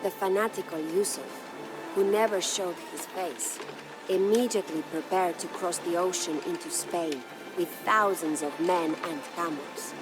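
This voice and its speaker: An actress playing a devoted, sorrowful medieval Spanish noble wife who narrates her husband's legend in a solemn, measured way for a game. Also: on the low side, steady tone, lightly acted, poor audio. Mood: grave. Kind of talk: scripted dramatic storytelling narration. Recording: studio narration over prominent music.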